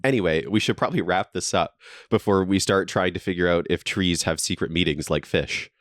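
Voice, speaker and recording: A man's voice: deep voice, brisk, no echo, clean studio sound. A young man co-hosting a podcast, reading a comedy script aloud and laughing often as he goes. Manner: laughs often, jokey delivery. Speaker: podcast co-host. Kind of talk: comedic read-through of a script. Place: friend's basement.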